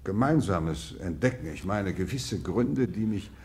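An actor playing a reserved, self-important Russian general in a radio drama, formal and condescending toward others. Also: male voice, low voice, lively intonation, a little mellow. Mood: dismissive, wary. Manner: formal, dismissive, measured condescension. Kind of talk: German radio drama acting.

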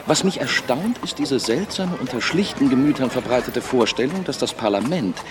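Lively, courtly aristocratic judge, played by a man. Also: medium pitch, normal speed, crowd noise, noisy background, lightly acted. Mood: ironic, musing. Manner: courtly, polished, ironic. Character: urbane, ironic male aristocratic judge, idle and rich. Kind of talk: radio drama acting.

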